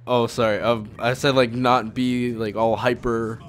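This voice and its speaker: A man's voice: mid-range voice, level tone, measured pace, slight background noise. A young man hosting a gaming show, chatting casually in a rambling, hedging way. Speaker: host of a gaming video series. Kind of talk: casual chat over gameplay.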